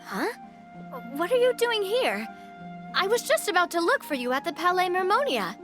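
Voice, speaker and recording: A woman's voice: extremely high pitch, very animated, normal speed. A woman voicing a breezy, confident young female leader in a video game, self-assured and lightly teasing. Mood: surprised. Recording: music behind the voice.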